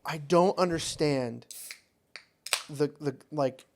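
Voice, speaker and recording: A man's voice: on the high side, slow pace, darkish tone, a little hiss or hum. A man with an American accent hosting a casual podcast, talking in a blunt, informal, sweary way. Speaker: podcast host.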